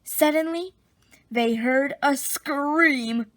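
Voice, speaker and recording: Lady doing a picture book read aloud, high voice, super expressive, takes her time. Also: very slow. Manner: expressive, dramatic. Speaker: reader of a children's picture book. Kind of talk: animated storybook read-aloud.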